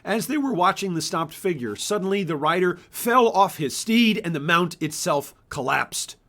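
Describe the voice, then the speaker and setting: Male author-host, 30s, slightly high voice, storybook read-aloud and informal book presentation. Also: lively intonation, moderate pace, fairly bright tone.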